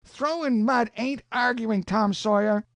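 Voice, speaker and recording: A man's voice: extremely high pitch, unhurried, no echo, pitched above the performer's own voice. A man voicing a stubborn, indignant country boy in rustic dialect.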